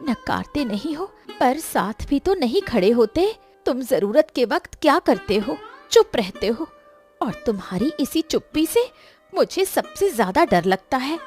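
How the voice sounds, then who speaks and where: A woman voicing an aggrieved, hot-tempered young village wife in an animated story, complaining with melodramatic emphasis. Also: high-pitched, a little slow, soft background music. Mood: hurt, accusing.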